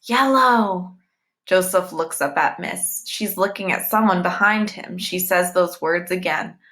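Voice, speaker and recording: Bright-voiced woman reading a story aloud. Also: on the low side, fairly even intonation, a little slow. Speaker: reader of a children's novel aloud. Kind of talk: read-aloud storytelling with short asides to listeners.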